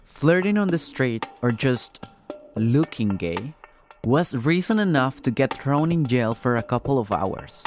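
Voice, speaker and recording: A man's voice: medium pitch, sing-song, measured pace. A man hosting and reporting a podcast, reading scripted first-person narration. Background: music.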